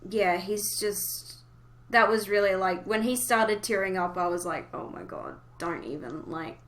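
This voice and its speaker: A woman hosting a casual fan discussion, talking emotively with hesitant pauses as she thinks aloud. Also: medium pitch, lively intonation, fairly bright tone. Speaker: podcast host.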